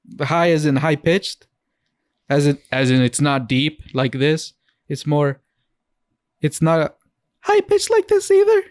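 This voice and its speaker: A man's voice: a little high, darkish tone, no room sound. A man co-hosting a podcast, chatting casually in a playful, rambling way on a close mic. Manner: playful, rambling, talks over others. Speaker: podcast co-host. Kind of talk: casual chat.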